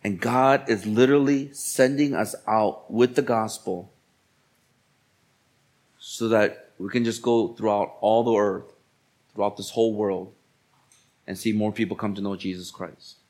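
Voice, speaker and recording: A man's voice: fairly low pitch, steady tone, measured pace, pauses often, darkish tone. Colloquial male pastor preaching. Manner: colloquial, exhorting, repeats phrases for emphasis. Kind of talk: sermon.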